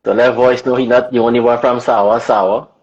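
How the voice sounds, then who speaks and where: A man from Trinidad with a Trinidadian accent, an artist manager speaking in a relaxed, slow way. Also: medium pitch, breathy edge, muffled recording. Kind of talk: casual interview chat.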